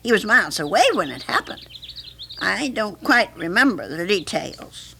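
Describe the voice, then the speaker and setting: An actress playing an anxious, earnest older woman with a troubled conscience in a radio drama, speaking haltingly. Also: medium pitch, very animated, gravelly, bright, forceful tone, exaggerated voice. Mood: earnest, insistent. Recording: faintly noisy archival radio recording.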